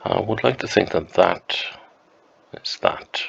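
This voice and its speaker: A man with a flu, sounding croaky and sniffly, thinking aloud slowly and hesitantly as he plays a map game at home. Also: low-pitched, lo-fi sound. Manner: slow, musing, hesitant with many ums. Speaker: game streamer. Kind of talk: thinking-aloud gameplay commentary.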